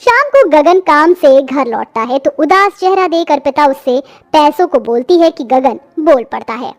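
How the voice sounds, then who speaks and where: A woman's voice: sits high, expressive, normal speed. A woman reading scripted narration for a children's story.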